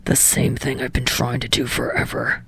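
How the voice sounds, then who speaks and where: A gruff young man played by a female narrator in an audiobook story. The voice is a raspy, gravelly mutter, breathy at the edges and recorded close and dry. He comes across as guarded, irritable and defiant in short grumbling phrases. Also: completely flat delivery, moderate pace, fairly bright tone, no room sound, heavily acted. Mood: defensive. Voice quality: low, raspy, muttering growl. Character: gruff, irritable young male actor, foul-mouthed, guarded.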